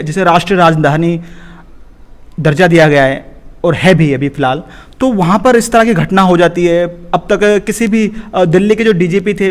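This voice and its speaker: A man working as a news interviewer, asking questions and agreeing deferentially with short acknowledgements. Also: high-pitched.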